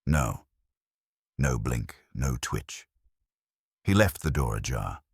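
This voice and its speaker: Very low-voiced man for detective audiobook narration; measured and formal, with lively swings in pitch. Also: slightly rough, no echo, studio quality. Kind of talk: scripted detective story narration.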